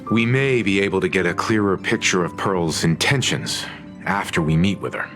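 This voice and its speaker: A courteous gentleman in a game, his voice low and steady. He speaks politely and in measured phrases over clearly audible game music.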